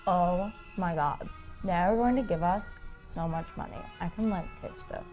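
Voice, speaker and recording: A woman playing a spacey young cohost of a spooky podcast in a comedy promo, with dreamy deadpan asides. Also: lowish voice, normal speed, soft background music, close to an everyday voice. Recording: muffled lo-fi audio.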